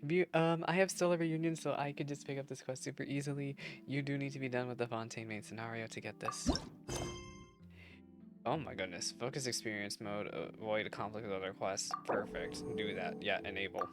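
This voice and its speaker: A woman with a very deep voice commentates casually as she streams a game. She reacts off the cuff with wry, teasing asides. Game music is clearly audible behind her. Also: steady tone, fairly quick. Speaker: gaming streamer.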